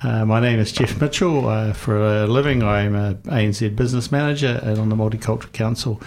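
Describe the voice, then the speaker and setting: A man's voice: on the low side, brisk, darkish tone. A mature man giving a plain, matter-of-fact answer as a guest on live studio radio. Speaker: radio guest, business manager.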